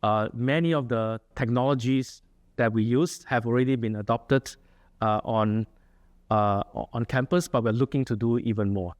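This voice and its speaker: A man's voice: medium pitch, moderate pace. A middle-aged man from Singapore with a Singaporean accent, an associate dean giving an earnest talk and answering questions in a lecture room. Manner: earnest, with frequent fillers and restarts.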